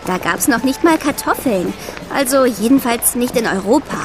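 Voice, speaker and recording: A woman plays a clever, sceptical schoolgirl in a children's audio drama. Her voice is high, and she explains and corrects in a matter-of-fact, teasing way. Music plays underneath. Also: lively intonation, moderate pace, close to an everyday voice. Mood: sceptical, explaining. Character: bright know-it-all schoolgirl, sceptical and precise.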